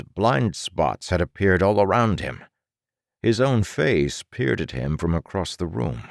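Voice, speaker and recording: Casting: male audiobook narrator, low register, highly animated dramatic read, dry studio-close sound. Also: no room sound.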